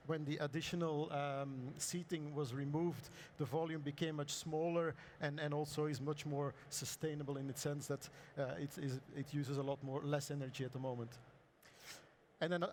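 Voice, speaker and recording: Hoarse male presenter, slightly high voice, hesitant delivery, faint noise behind. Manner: hesitant, many ums and uhs, clears throat. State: hoarse, lost voice. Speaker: architect presenting. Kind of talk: conference presentation. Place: conference hall.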